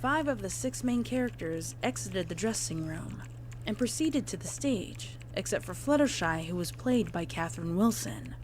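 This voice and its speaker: A woman reading a scripted report in a formal, measured way as a narrator. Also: medium pitch, lively intonation, darkish tone, a little hiss or hum, rough recording. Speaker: narrator of a horror fan fiction reading.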